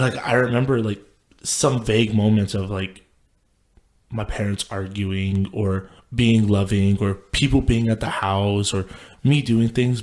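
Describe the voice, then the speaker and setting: Low-voiced young man from Texas. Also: a little mellow. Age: late 20s. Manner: loose and profane, lots of "like" and restarts. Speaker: podcast guest. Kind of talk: casual storytelling.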